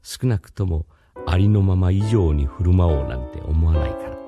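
Very deep older male teacher, patient, mysterious, measured, radio drama lecture, music behind. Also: expressive. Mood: patient, explaining. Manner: measured, explanatory.

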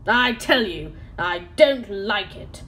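A flustered old sea captain stammers out his alarm in loud, excited bursts, as a woman reads him. The voice swoops up and down with lively pitch. It is a storybook figure, more bluster than menace. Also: slow, a little hiss or hum. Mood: uneasy.

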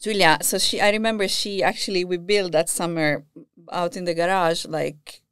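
Italian-accented woman, low smooth voice. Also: fairly even intonation, normal speed, fairly bright tone, dry recording. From northern Italy. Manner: hesitant, many fillers and restarts, laughs easily. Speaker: guest, scientist and co-founder. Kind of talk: casual interview storytelling.

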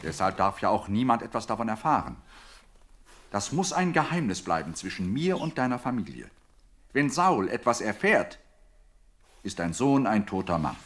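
An actor playing a solemn, declarative prophet in a Bible audio drama. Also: male voice, fairly low pitch, big pitch swings, normal speed, long reverb tail. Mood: grateful, secretive.

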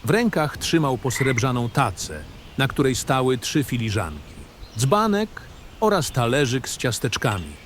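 A male narrator tells a fantasy story in a literary, first-person style. His mid-range voice moves through a lively, expressive intonation. Soft nature ambience plays faintly behind the reading. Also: medium pitch, moderate pace, a little hiss or hum. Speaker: narrator of a fantasy audio drama.

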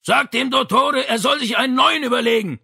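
A man voicing a grumpy, stubborn father who calls out loudly and defiantly in an audiobook. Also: extremely high pitch, fairly quick, gravelly, husky, pitched above the performer's own voice, full character voice. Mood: grumpy shouting. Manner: loud, defiant calling. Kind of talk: audiobook character acting.

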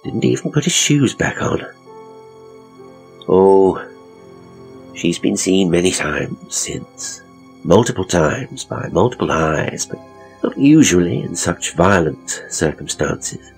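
A man playing a pompous, theatrical ghost tour guide, telling gruesome tales with suspenseful, drawn-out phrasing in a horror audio story. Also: low voice, very animated, gravelly, faint music behind, exaggerated voice, rough recording. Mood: sly, theatrical.

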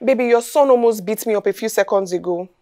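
A woman's voice: medium pitch, quick pace, dark tone, natural voice. A woman acting a distraught, angry mother with a Nigerian accent in a film drama, scolding and pleading. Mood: distressed, pleading. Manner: scolding, pleading, repeats questions.

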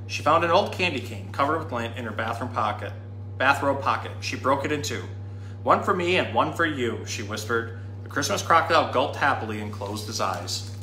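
Guy in his 30s doing storytime, bright voice, dramatic, roomy sound w a bit of noise. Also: mid-range voice, fairly quick. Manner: animated, dramatic reading. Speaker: zookeeper reading a storybook. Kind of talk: expressive children's storytime read-aloud. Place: indoor room.

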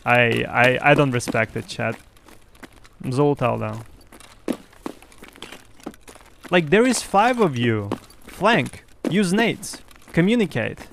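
A man's voice: medium pitch, takes it slowly, creaky, pauses often. Young male streamer, Russian first language, boastful and chatty with his viewers, headset mic, light background noise. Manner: excited, boastful, talks to chat. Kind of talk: live gameplay commentary.

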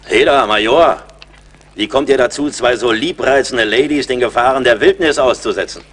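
An actor playing a seasoned, blunt and mocking frontier scout in a radio play, with a wry, commanding manner. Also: male voice, medium pitch, moderate pace, fairly bright tone, close to an everyday voice. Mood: firm, challenging. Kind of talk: German radio play acting.

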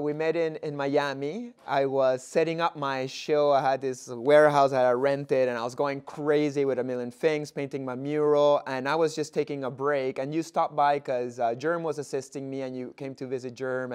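A man's voice: on the high side, a little mellow, smooth. A young man hosting a podcast in an art studio, talking in a laid-back way with lots of "like" and "you know". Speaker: podcast host. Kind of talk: casual interview chat.

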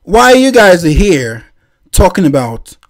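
A man's voice: high voice, big pitch swings, unhurried. A man hosting a reaction video, mocking what he watches with frequent laughs and exclamations into a desk microphone. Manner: laughs often, exclaims, rants.

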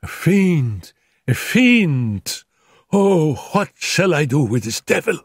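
A man's voice: a little high, very animated, takes it slowly, breathy edge, dry recording, clean studio sound, voice pushed up, exaggerated voice. A man voicing a feverish, delirious woman who is terrified and despairing, in an audiobook. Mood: frantic, despairing. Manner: frantic, raving.

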